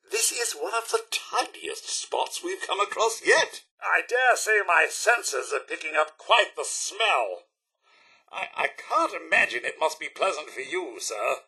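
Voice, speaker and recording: A man's voice: high-pitched, creaky, sharp tone, breathy edge, exaggerated voice. A man voicing a polite, fussy, anxious and loyal robot butler in a video game, with a British accent and a prim, formal, deferential manner. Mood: courteous, chatty. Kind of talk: video game character voice lines.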